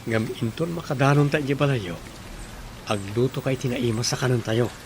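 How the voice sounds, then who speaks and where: A man's voice: medium pitch, moderate pace, a little hiss or hum, lightly acted. A man playing an earnest, devoted young village man in an Ilocano comedy radio drama, with an Ilocano Filipino accent. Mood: affectionate. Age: young adult.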